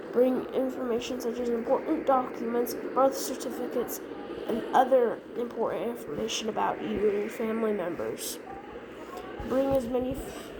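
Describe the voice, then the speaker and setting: A woman with a fairly high voice reads a scripted emergency announcement. Her delivery is halting, with words repeated here and there. Clear background noise runs through the recording. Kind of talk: scripted mock emergency alert narration.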